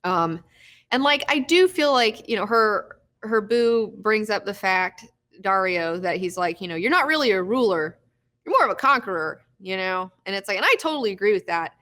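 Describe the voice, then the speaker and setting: A woman's voice: medium pitch, lively intonation, normal speed, a bit bright. Chatty young woman hosting a recap. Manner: chatty, swears freely, laughs often. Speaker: host of a live recap show. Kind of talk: casual live recap chat.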